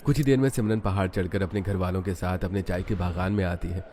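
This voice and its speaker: A man's voice: sits low, fairly even intonation, quick pace, mellow, slight background noise. A man reading scripted narration for a cartoon family story.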